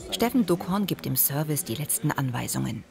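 A woman reading a documentary voice-over in a calm, even way, with some lines voicing over an interview subject. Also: low voice, a little fast, vocal fry. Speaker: documentary narrator. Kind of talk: scripted voice-over narration. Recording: voice-over with music bed.

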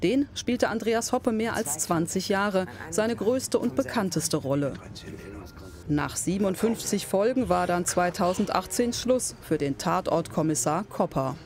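A man's voice: sits high, animated, a little slow. A man reading scripted biographical narration as a television reporter.

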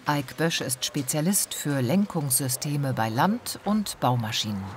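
A woman reading a scripted documentary voice-over in a calm, measured, informative way. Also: sits low, background noise. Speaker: documentary narrator.

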